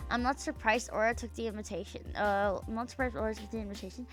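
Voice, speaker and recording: A woman's voice: fairly high pitch, steady tone, fast talker, faint music behind. A young woman streaming, chatting casually and reading chat aloud, rambling and swearing, full of fillers and half-finished sentences. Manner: rambling, profane, filler-heavy, trails off mid-sentence. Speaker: VTuber streamer.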